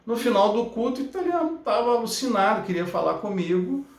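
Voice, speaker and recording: A man's voice: sits high, big pitch swings, a little fast, roomy sound. A man teaching a Bible lesson as a pastor, talking conversationally with frequent fillers and tag questions.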